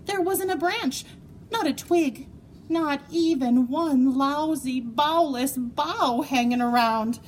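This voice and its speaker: A woman reading a children's story aloud in an expressive, playful way, with dramatic emphasis and drawn-out words. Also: sits high, a little hiss or hum. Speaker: storybook reader.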